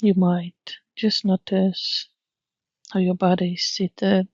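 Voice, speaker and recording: Woman doing a guided meditation, really slow n even, gentle instructions w pauses, bit muffled lo-fi sound. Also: lowish voice, no echo.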